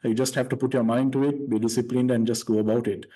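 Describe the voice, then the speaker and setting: A man hosting a podcast, explaining his writing process in a relaxed way with plenty of "uh" and "basically". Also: medium pitch, fast talker, poor audio. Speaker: podcast host. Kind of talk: casual interview chat.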